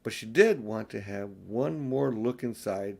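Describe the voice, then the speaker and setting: A man's voice: on the low side, unhurried, vocal fry, a little mellow. An older man narrating a children's storybook expressively as an audiobook reader.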